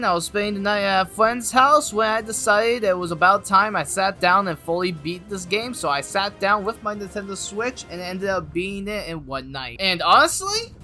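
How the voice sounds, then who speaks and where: An animated video game reviewer with a bright, forceful voice of middling pitch. The delivery is an enthusiastic scripted review, full of emphasis and exclamation. Music plays softly behind the voice. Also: moderate pace.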